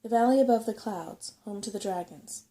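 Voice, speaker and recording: A woman's voice: medium pitch, a little fast, mellow, lightly acted. A woman voicing a self-satisfied old male rat, a chatty and cautious know-it-all, in a bedtime story. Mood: knowing, curious.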